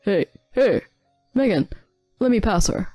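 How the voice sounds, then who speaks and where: A woman's voice: mid-range voice, expressive, a little slow, mellow, no echo. A woman voicing a selfish, arrogant, whiny husband with an irritated, demanding manner in a dramatized domestic story. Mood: doting. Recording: background music bed.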